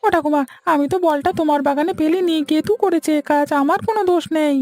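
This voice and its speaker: A woman's voice: high voice, steady tone, fast talker, a little mellow, close to an everyday voice. A woman voicing a young village boy in a cartoon, pleading and argumentative. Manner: pleading, protesting. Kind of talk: cartoon voice acting.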